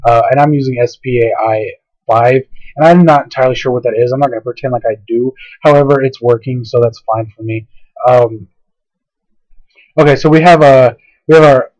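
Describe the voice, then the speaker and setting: Hoarse guy rambling thru a screen tutorial, um uh a lot, muffled dry mic. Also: medium pitch, darkish tone, no room sound. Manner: rambling, hesitant, many fillers. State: hoarse, voice going out. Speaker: software tutorial host. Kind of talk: casual screen-recorded tutorial.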